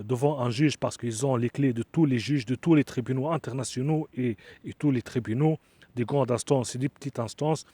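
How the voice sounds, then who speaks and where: A man giving an earnest, insistent appeal straight to camera, halting often with fillers and restarts. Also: mid-range voice, dark tone, no echo. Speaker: asylum seeker making an appeal video. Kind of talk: direct-to-camera appeal monologue.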